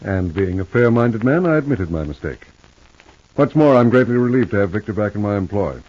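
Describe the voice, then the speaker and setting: A wealthy American man of smooth, evasive condescension in an old radio soap. His voice sits low and a little rough, and the dull vintage recording softens every edge. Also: lively intonation, brisk. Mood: smooth, self-justifying. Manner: suave, evasive, patronizing. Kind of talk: 1950s radio soap opera acting.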